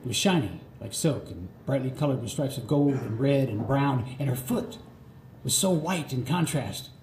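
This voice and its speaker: A man's voice: medium pitch, expressive, darkish tone. Older american guy doing a theatrical memoir monologue, roomy live recording, bit of noise behind. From Florida, United States. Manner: nostalgic, theatrical storytelling with dramatic pauses. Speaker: storyteller. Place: live radio theatre performance.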